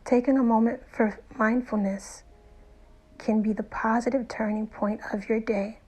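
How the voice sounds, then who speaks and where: A woman narrating a guided meditation in a soothing, unhurried way with deliberate pauses and soft, breathy phrase endings. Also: a little high, steady tone, low-quality recording. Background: music.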